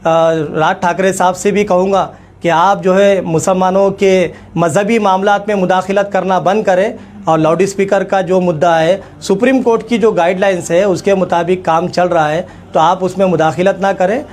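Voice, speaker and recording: A man speaks in a notably high-pitched voice. He delivers a formal public statement as a leader would, with emphatic phrasing and pointed repetition. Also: steady tone, moderate pace, darkish tone, a little hiss or hum. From India. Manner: emphatic, repeats phrases for effect. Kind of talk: formal political address to camera.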